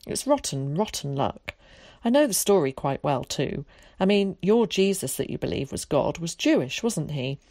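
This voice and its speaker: A woman's voice: low-pitched, big pitch swings, normal speed, a little mellow, no room sound, clean studio sound, full character voice. A woman voicing an eager, theatrical, talkative young boy in a storybook, with dramatic, chummy old-fashioned phrasing. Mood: earnest, aggrieved.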